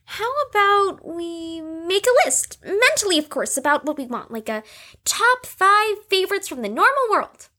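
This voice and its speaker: Timid, very high-pitched young woman voiced by an actress. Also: sing-song, pushed and bright, full character voice. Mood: timid, hesitant. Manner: halting, timid, trailing off. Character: shy, hesitant, gentle young female psychiatric patient. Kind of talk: audio drama acting.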